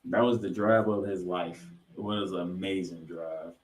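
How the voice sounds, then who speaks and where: A man co-hosting a sports podcast, recapping football and reading stats with emphatic, drawn-out key figures. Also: low-pitched, steady tone. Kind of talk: football recap commentary with stat read-outs. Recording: echoey, roomy sound.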